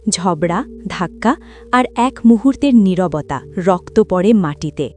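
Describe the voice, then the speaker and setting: A woman's voice: mid-range voice, lively intonation, measured pace, a little mellow. A woman reading a scripted, dramatic story as its narrator. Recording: music bed behind voice. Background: music.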